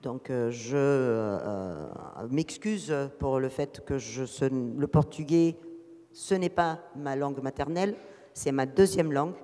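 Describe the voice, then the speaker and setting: A man's voice: medium pitch, slow, warm tone. A man interpreting live at a ceremony, hesitant, with many fillers. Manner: hesitant, frequent filler "euh". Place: ceremony hall. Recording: long reverb in a big hall.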